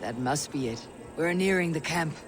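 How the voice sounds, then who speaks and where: Male actor as hard Viking warrior, video game, high-pitched for a man, terse, commanding, faint music behind. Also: expressive, normal speed, lightly acted. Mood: dry, scornful. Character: hard, blunt, impatient Viking war leader.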